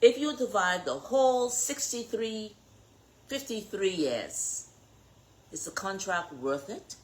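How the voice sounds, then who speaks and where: A woman's voice: medium pitch, lively intonation, slow, low-quality recording. A woman with a Bahamian accent speaking as a political candidate in an impassioned address, emphatic and challenging, firing off rhetorical questions.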